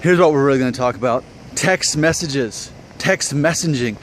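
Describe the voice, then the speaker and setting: A man's voice: mid-range voice, moderate pace, a little hiss or hum. A man in his thirties talking casually to viewers in an opinion vlog, conversational and self-deprecating, full of rhetorical questions. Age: 30s. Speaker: vlog host.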